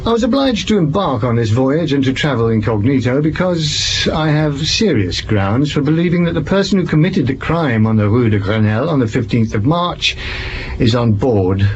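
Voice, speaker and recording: An actor playing a shrewd, formally polite male French police commissioner, deliberate and commanding, in a period mystery audio drama. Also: mid-range voice, big pitch swings, dull audio, a little hiss or hum, natural voice. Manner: deliberate, courteous, theatrical, commanding.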